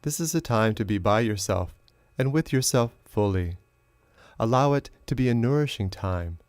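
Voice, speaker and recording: A man narrating a guided meditation as a teacher, in a slow, measured, instructional way with long pauses. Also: mid-range voice, lively intonation, a little mellow, dry recording.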